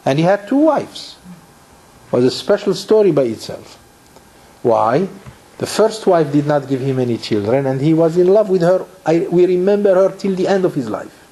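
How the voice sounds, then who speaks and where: A man's voice: a little high, expressive, moderate pace, warm tone, rough recording. A middle-aged man from Morocco, a rabbi giving a Torah lecture, who restarts his phrases and asks rhetorical questions.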